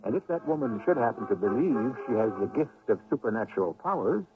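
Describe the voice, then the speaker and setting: A man hosting and narrating a radio mystery in a theatrical, measured, solemn and ominous scripted style. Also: lowish voice. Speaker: host and narrator. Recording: narrow old radio broadcast, faint noise.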